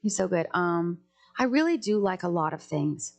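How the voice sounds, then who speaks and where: Lowish woman's voice, singer guest on a podcast, rambly and chatty, lots of restarts. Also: expressive, moderate pace. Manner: chatty, jumps between thoughts, many restarts. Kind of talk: casual podcast interview.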